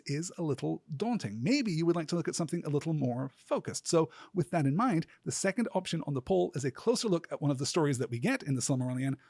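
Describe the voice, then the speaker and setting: A man hosting a solo podcast in a scripted, articulate and erudite style, speaking with earnest enthusiasm and deliberate emphatic pauses. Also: fairly high pitch, darkish tone, slightly rough, no echo, clean studio sound. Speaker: podcast host.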